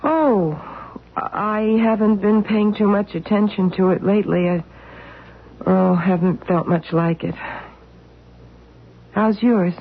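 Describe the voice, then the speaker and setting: An actress playing a dazed housewife who speaks haltingly in an old radio drama. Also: female voice, mid-range voice. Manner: hesitant, halting speech. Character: dazed housewife Edith, embarrassed after passing out. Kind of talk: 1970s radio drama acting. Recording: tinny lo-fi old radio broadcast.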